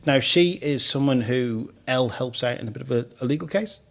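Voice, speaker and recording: A man's voice: medium pitch, a little fast, creaky. A man in his fifties hosting a radio podcast in a studio. He talks casually, laughs easily and often restarts his phrases. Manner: chatty, frequent laughs and restarts.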